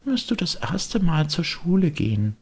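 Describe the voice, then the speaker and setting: A man voicing a gentle, motherly fairy, kind but admonishing, in a measured audiobook character voice. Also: a little high, very animated, breathy edge, voice pushed up, heavily acted.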